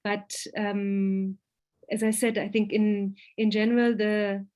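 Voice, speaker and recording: A woman's voice: medium pitch, flat delivery, slow pace, darkish tone. A woman speaking as a guest academic in a virtual forum. She hesitates often, restarting and repeating words as she thinks aloud. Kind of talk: spoken academic talk answering questions. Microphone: video call.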